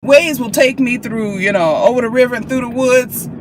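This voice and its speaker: An American woman chatting casually to camera in an animated, playful, expressive way, like telling stories to friends. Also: on the high side, normal speed. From Chicago, United States. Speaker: vlogger and actress. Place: inside a car. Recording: clear car and traffic noise.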